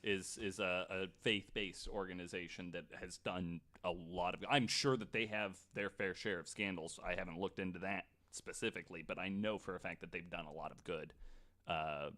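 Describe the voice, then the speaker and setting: A man's voice: low voice, steady tone, normal speed, sharp tone. A man talking casually as a panelist on a podcast. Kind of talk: casual discussion.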